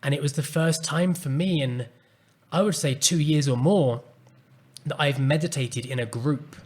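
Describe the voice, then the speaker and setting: Calm, earnest man telling a personal story. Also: a little high, normal speed. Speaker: podcast host and coach. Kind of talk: reflective solo podcast talk.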